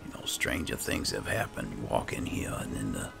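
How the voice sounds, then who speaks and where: Man, rock-bottom pitch, thick vocal fry, casual in-character game narration, muttering, music behind. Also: very animated, normal speed. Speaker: gamer playing in character. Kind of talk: casual roleplay gameplay narration.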